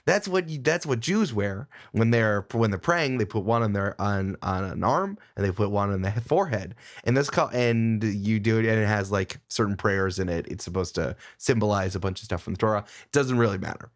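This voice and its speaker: A man talking casually as a podcast guest, opinionated and animated, often digressing as he argues his point. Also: fairly low pitch, brisk, hardly any pauses.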